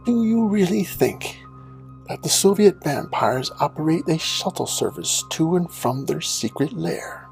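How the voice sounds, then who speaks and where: A man voicing a suave, devilish gentleman villain in a cartoon comedy, theatrical and drawling, with mock courtesy and a breathy, creaky voice. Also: a little high, animated, unhurried, faint music behind, rough recording. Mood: amused, condescending.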